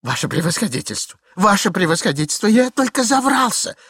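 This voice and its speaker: A man's voice: on the high side, sing-song, a little fast, a bit bright, slightly rough, no echo, studio quality, voice pushed up, exaggerated voice. A man voicing a flustered middle-aged husband in a story reading, babbling, pleading and correcting himself obsequiously. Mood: panicked pleading. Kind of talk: theatrical literary reading.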